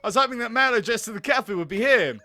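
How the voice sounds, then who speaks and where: Man playing a high, earnest, awkward male coworker. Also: expressive, fast, pushed and bright, no room sound, exaggerated voice. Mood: worried, earnest. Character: polite, awkward male coworker in a dating sim.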